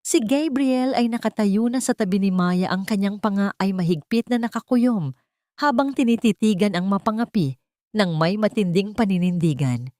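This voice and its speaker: A woman narrating a scripted story in a solemn, deliberate, dramatic manner. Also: mid-range voice, warm tone, dry recording, clean studio sound.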